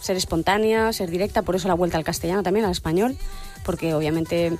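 Brief: woman, singer guest, open and thoughtful, mid-pitched, faint music under her. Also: a little mellow. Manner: candid, reflective, quick to laugh. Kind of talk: radio interview chat. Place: radio studio.

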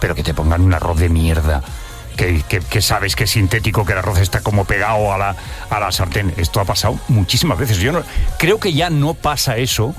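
A middle-aged man hosts a casual radio chat. His voice sits a little low, and he talks bluntly and emphatically. Faint music plays behind him. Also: brisk. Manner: blunt and emphatic, swears freely. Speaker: radio host.

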